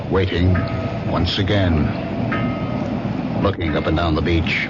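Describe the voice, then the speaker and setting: An actor playing a brooding, jealous husband who narrates his own story in a 1970s radio drama, dramatically and with deliberate pauses. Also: rumbling low. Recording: muffled old radio broadcast with music.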